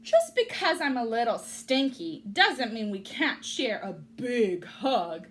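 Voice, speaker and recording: A woman's voice: on the high side, very animated, takes it slowly. A woman voicing a big, easygoing, affectionate bear in a children's storybook, drawing out the words. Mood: sleepy. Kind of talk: children's storybook read-aloud.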